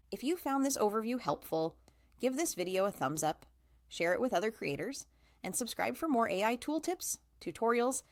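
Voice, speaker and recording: A woman's voice: medium pitch, fairly bright tone, no room sound, clean studio sound. A woman hosting a tutorial, reading a scripted explainer narration. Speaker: tutorial host.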